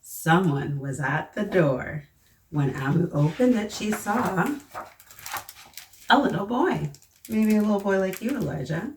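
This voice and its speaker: A woman hosting children's story time, reading aloud and talking to young listeners in an expressive, encouraging way. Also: medium pitch, measured pace. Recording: echoey room, distant mic, faint noise.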